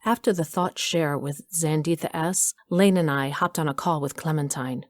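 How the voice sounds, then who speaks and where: A woman's voice: low voice, moderate pace, dry recording, soft background music, lightly acted. A woman playing a resolute, stealthy mother and doctor who narrates a scripted audio drama. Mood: measured suspense. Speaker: narrator and lead actor.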